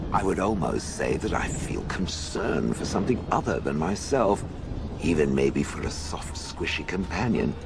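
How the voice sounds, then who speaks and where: Game golem, male actor: low, very animated delivery, sardonic and grudgingly fond, noise in the background. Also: exaggerated voice. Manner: dry, haughty, sardonic. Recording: clear background ambience noise.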